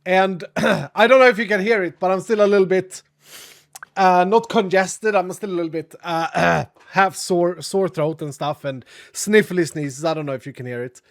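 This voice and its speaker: A man streaming live with a cold, chatting casually in a raspy, sniffly voice and thanking his viewers. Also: sits high, moderate pace.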